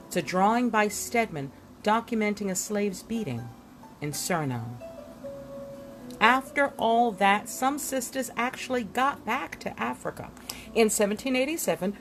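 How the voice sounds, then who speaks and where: A woman narrates a history book as if presenting it to listeners. Her mid-pitched voice swings animatedly, with deliberate pauses and dramatic emphasis. Faint music plays behind her. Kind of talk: expressive read-aloud narration with asides.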